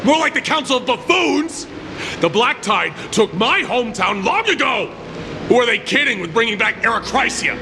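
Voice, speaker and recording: Very high-pitched, sneering malcontent. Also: male voice, big pitch swings, moderate pace, creaky, noisy background, full character voice. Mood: mocking, bitter. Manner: mocking, theatrical, scornful. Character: bitter, sneering townsman scornful of elders and gods. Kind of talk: video game story voice acting. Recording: music behind the voice.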